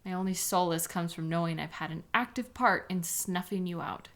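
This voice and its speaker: A woman's voice: lowish voice, normal speed, fairly bright tone. A woman playing an embittered, contemptuous police sketch artist with a sarcastic, scornful manner in a dramatic audio roleplay. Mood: cold, bitter.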